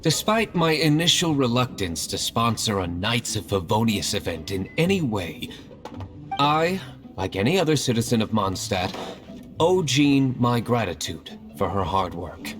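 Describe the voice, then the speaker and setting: Casting: reserved grudging gentleman, medium pitch with marked inflection, formal game acting, faint music. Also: big pitch swings. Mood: reluctant. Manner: formal, measured. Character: aloof, reserved, grudgingly grateful nobleman. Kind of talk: animated video game voice acting.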